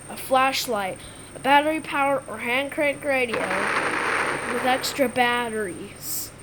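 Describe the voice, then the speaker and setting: A woman performing an amateur scripted emergency roleplay, halting and often repeating phrases, with read-out announcements. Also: on the high side, background noise. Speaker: creator performing a hobby emergency alert roleplay.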